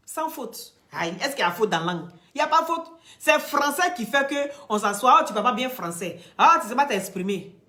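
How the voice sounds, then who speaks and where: A woman from Ivory Coast with an Ivorian French accent, giving impassioned commentary straight to the camera, preachy and emphatic, swinging from loud peaks to quiet, near-whispered asides. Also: on the high side, expressive, fairly quick. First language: Dioula or another Ivorian language. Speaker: social media commentator.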